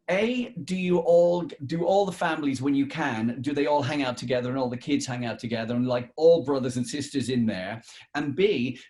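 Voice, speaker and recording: A man's voice: a little high, a little mellow. A man working as a radio interviewer, asking informal questions in a chatty, rambling way.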